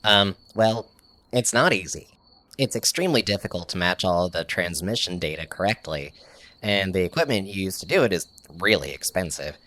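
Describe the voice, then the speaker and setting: A man's voice: deep voice, expressive, moderate pace, a bit bright, no echo. A man playing an eager, nerdy tech tinkerer in an audio comedy, explaining things in a casual, slightly awkward way. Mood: earnest explaining. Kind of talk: audio sitcom acting.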